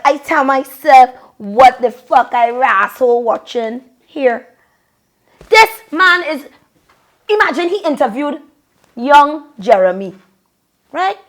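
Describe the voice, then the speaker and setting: A woman's voice: high voice, a bit bright. A woman from Trinidad with a Trinidadian accent, ranting bluntly and profanely to camera in Creole-flavored casual talk. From Trinidad and Tobago. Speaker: vlogger and longtime event host.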